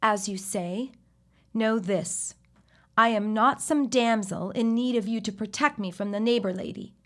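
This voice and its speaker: A woman's voice: a little high, unhurried, a bit bright. An actress playing a strong-willed, well-to-do 1910s lady with formal, firm phrasing in an audio drama. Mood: firm, indignant. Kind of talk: period audio drama acting.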